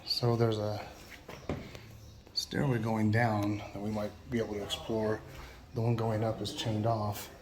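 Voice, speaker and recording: A man's voice: on the low side, little pitch movement, a little slow, long pauses, noisy background. A man giving a casual walking tour of a museum, thinking aloud with fillers and restarts, his voice cracking now and then. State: voice keeps cracking. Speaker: travel vlogger. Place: indoor and courtyard museum rooms.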